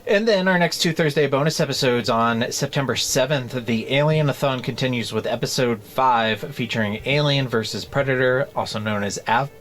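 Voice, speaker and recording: A man co-hosting a casual book club podcast, rambling through jokes in a deadpan way. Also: medium pitch, normal speed, few pauses. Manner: joking, rambling deadpan bits. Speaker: podcast co-host.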